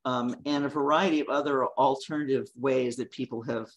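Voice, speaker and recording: Gravelly older woman attorney. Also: very deep voice, fairly even intonation, brisk. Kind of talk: casual interview.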